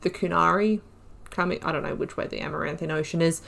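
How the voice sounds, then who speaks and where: A woman's voice: fairly low pitch, steady tone, fast talker, gravelly, fairly bright tone. A woman hosting a game playthrough, reading lore entries aloud and breaking off into casual, rambling asides. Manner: chatty, trails off and restarts mid-sentence. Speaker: gaming video host.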